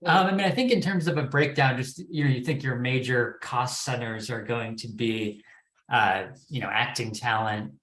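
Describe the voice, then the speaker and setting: A man's voice: medium pitch, moderate pace, fairly bright tone. A man speaking unscripted as a panelist, hesitant and repeating words as he thinks, recorded in an echoing room. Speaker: podcast development executive on a panel. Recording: roomy, echoing sound.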